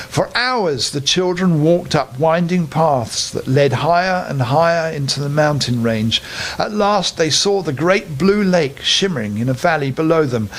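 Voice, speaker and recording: Older man reading a bedtime story, lightish pitch.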